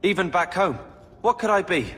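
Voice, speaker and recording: A man's voice: high voice, expressive, moderate pace, a bit bright. A man playing a bitter, sarcastic young man, an aggrieved younger brother in a video game. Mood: resentful. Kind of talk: dramatic video game acting. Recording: long reverb with background music.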